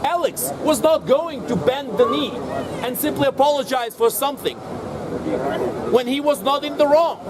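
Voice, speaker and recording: A man with a very high-pitched voice delivers an impassioned, declamatory street speech. He repeats phrases for emphasis, outdoors with crowd sound and clear traffic noise around him. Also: normal speed. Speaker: rally speaker and moderator. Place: outdoors in an urban public space.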